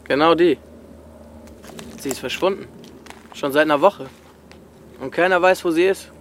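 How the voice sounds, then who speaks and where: A man's voice: on the high side, moderate pace, slight background noise, natural voice. A man playing a shady, secretive dealer who talks with condescending slyness in a radio play. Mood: conspiratorial. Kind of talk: German radio play acting.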